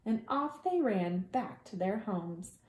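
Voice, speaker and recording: Expressive woman reading a storybook, roomy sound. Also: medium pitch, slow. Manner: dramatic, with hushed asides to young listeners. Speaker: preschool teacher reading storytime. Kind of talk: expressive children's storybook read-aloud.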